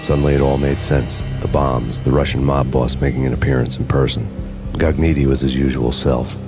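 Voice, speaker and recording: A world-weary New York cop from a noir game. His American voice is extremely low and nearly flat, brooding and deadpan as he narrates over music. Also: a little fast. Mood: grim, brooding. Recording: music behind the voice.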